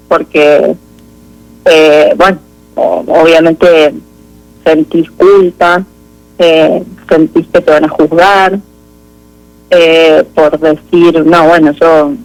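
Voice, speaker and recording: A woman with an Argentine Spanish accent giving a halting, introspective personal account as an interview guest. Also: low voice, a little mellow, poor audio.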